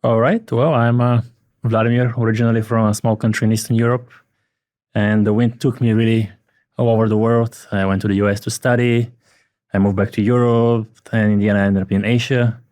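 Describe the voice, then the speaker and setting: Bulgarian-born guy in his 40s, laid back wry podcast talk, mid pitch, dead dry mic. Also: little pitch movement, brisk, a little mellow, dry recording. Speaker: podcast guest, advisor. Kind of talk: casual podcast chat.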